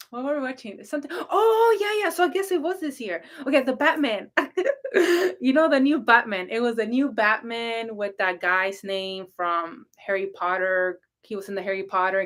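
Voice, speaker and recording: A woman hosting a casual livestream, telling a story with a naturally loud voice, rambling and laughing between throat clears. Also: fairly high pitch. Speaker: livestream host, spiritual reader.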